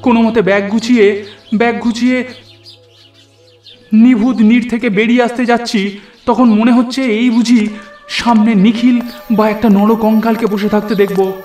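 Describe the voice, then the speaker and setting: A man's voice: extremely high pitch, level tone, normal speed, pauses often, darkish tone. A man narrating a scripted horror story in the first person, theatrical and suspenseful. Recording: echoey, music underneath.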